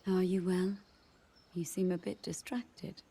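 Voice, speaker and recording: An actress playing a gentle, perceptive queen in a game cutscene, speaking in a soft, breathy near-whisper. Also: fairly low pitch, level tone, measured pace, slight background noise. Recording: game cutscene over music.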